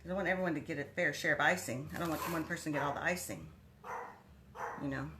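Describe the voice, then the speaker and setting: A woman's voice: deep voice, quick pace, noisy background. Chatty Texan woman cooking live. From Texas. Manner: chatty, bubbly, talks to viewers by name. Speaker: cooking livestream host. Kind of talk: casual live cooking chat with viewers. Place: home kitchen.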